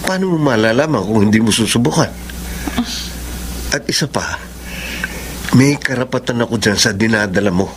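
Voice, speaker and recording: A man acting a persistent young Filipino suitor in a radio soap, eager and a little mercenary. His delivery swings widely in pitch at a middling register, over a clearly noisy background with ambience. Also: expressive, moderate pace, long pauses, lightly acted. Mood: earnest pleading. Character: materialistic, persistent young man wooing the woman carrying his child.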